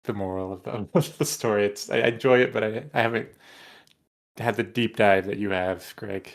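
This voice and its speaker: A man's voice: lowish voice, fairly even intonation, normal speed. A young man co-hosting a podcast, chatting in an easygoing way with chuckling asides. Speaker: podcast co-host. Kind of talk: casual chat.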